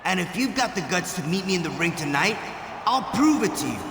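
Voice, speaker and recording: Proud, defiant wrestler in echoing hall. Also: male voice, high voice, sing-song, a little fast. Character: proud, defiant male wrestler standing his ground. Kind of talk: video game cutscene acting. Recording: echoey hall, background noise.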